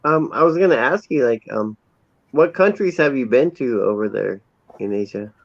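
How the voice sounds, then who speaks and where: A man's voice: medium pitch, expressive, normal speed, creaky, no echo. A man in a casual panel chat, loose-talking and swearing freely. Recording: dull lo-fi sound.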